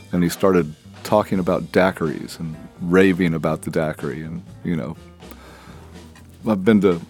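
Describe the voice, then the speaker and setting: A man's voice: deep voice, darkish tone. A man from Texas, a bar co-owner and cocktail maker, answering interview questions in a laid-back, easygoing way.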